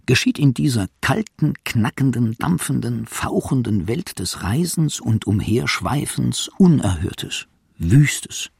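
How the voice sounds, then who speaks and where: Casting: male narrator for a scripted literary radio feature, mid register, creaky and husky, dry studio sound. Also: mid-range voice, sing-song, takes it slowly, darkish tone, dry recording.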